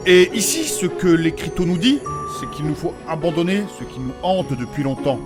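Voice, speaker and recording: A man's voice: fairly high pitch, animated, normal speed, over music, lightly acted. A man playing a courteous, solemn nobleman with old-fashioned formal diction in a fantasy audio drama. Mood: solemn explaining. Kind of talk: French fantasy comedy audio drama acting.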